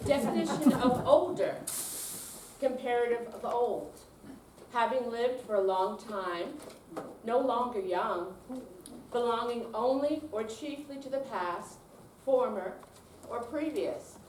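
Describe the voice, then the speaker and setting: A woman's voice: on the high side, slow, a little mellow, slight background noise. Older female amateur reader, dramatic delivery, recorded at a distance in an echoey room. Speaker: amateur readers theater performer. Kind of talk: dramatic reading on stage. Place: room at a senior center.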